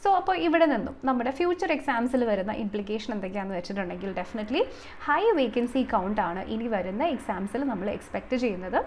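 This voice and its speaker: A woman's voice: mid-range voice, lively intonation, quick pace, a little hiss or hum. A woman giving an explanatory exam coaching lesson, mixing in many English terms and numbers. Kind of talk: explanatory video lesson, semi-formal.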